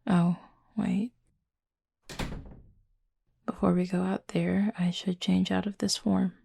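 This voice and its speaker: A woman playing a reserved, gently teasing cupid roommate in an intimate audio roleplay, speaking softly and breathily in a dry, measured way. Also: lowish voice, vocal fry, husky, full character voice. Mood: teasing, casual. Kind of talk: intimate roleplay audio drama, addressing the listener.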